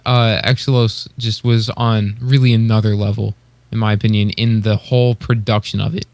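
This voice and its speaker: A man's voice: lowish voice, flat delivery, normal speed, darkish tone, dry recording. A man co-hosting a podcast, chatting casually and giving informal, appreciative opinions. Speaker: podcast co-host.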